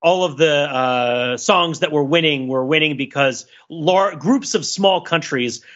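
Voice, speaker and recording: Male podcaster, sharp bright tone, digressive casual chat, somewhat muffled audio. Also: on the high side, a little slow. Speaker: podcast co-host.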